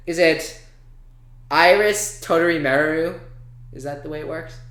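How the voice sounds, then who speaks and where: A man with a bright, slightly high voice co-hosts a casual talk show. He rambles informally and with enthusiasm, like someone chatting with a friend. The room around him is echoey. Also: unhurried. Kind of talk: casual chat about video games and anime.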